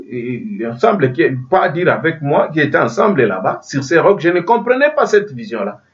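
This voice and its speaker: A man preaching a sermon in French, which is not his first language, emphatic, often restarting phrases and asking his listeners if they understand. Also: high voice. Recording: dull lo-fi sound from a distance.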